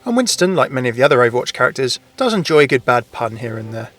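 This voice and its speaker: A man's voice: mid-range voice, very animated, very fast, a bit bright. A man hosting a gaming video, reading scripted explainer narration.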